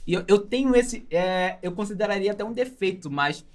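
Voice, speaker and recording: A man's voice: high-pitched, animated, fairly quick. Young brazilian guy chatting in an interview, informal, keen, accent from brazil. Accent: Brazilian Portuguese. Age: young adult. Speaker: animator and teacher, interview guest. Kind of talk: casual interview chat.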